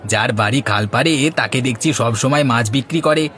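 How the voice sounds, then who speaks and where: A man's voice: mid-range voice, quick pace, a bit bright, slight background noise, lightly acted. A man playing a chatty, envious village fish seller in a Bengali moral-story cartoon. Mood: teasing.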